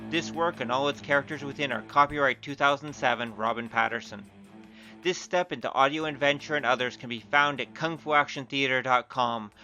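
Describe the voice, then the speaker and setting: Male announcer over prominent music. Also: medium pitch. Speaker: announcer reading credits. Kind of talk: scripted announcement. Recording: music playing under the voice.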